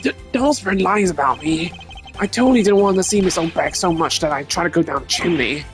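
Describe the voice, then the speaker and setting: A man voicing a whiny, excitable, lovestruck young man, a hapless murder suspect in a video game, blustering and indignant. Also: sits high, brisk, faint music behind, pitched above the performer's own voice. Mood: flustered, defensive. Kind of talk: hammy video game dub.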